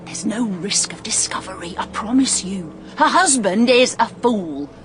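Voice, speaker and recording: A woman's voice: mid-range voice, lively intonation, unhurried, over music, close to an everyday voice. A woman playing a sly, insistent serving maid who coaxes and insinuates in a period radio drama. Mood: goading, insinuating. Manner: coaxing, knowing, insinuating.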